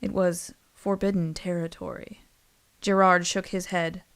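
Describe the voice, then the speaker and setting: A woman narrates a dramatized fantasy story from a script. Her voice sits on the low side for a woman. It has a gravelly, creaky edge. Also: flat delivery, unhurried.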